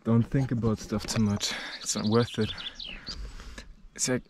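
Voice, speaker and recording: A man's voice: fairly low pitch, steady tone, measured pace, a little mellow. A young man in his twenties with a German accent, talking casually and a bit out of breath to a handheld camera while climbing a mountain trail, rambling with lots of fillers and swearing. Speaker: travel vlogger. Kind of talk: casual vlog talk while hiking. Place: outdoors on a rocky mountain trail. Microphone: handheld camera at arm's length. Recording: outdoor camera audio with clear background noise.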